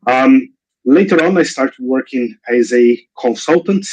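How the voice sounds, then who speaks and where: A Brazilian man in his forties gives an online seminar in English with an accent mixing Brazilian, British and American sounds. He talks at a medium pitch and stops often to restart and fill gaps. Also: expressive, a little slow, a little mellow, poor audio. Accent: mixed Brazilian, British and American English. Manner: hesitant, many restarts and fillers. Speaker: invited guest speaker. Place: video call.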